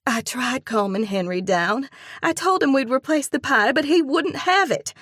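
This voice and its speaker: A woman voicing an excitable, outraged young woman friend who talks in an indignant rush. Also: breathy edge, no echo, clean studio sound, full character voice. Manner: rushed, indignant, high-pitched.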